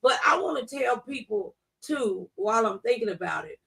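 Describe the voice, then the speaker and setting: A woman's voice: fairly high pitch, lively intonation, normal speed, vocal fry, a bit bright, husky, far from the mic, rough recording. A middle-aged woman talking conversationally about faith, quoting scripture and testifying with emphatic, preacher-like delivery. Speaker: talk show speaker on faith.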